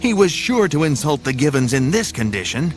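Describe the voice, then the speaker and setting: A man's voice: fairly high pitch, sing-song, a little fast. A man narrating an audio drama, reading a scripted story in a dramatic style. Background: music.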